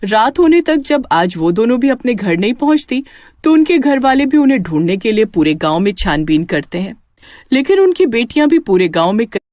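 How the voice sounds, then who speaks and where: A woman's voice: a little high, very animated, normal speed, a little mellow, dry recording, clean studio sound. A woman narrating a scripted children's horror story as a storyteller.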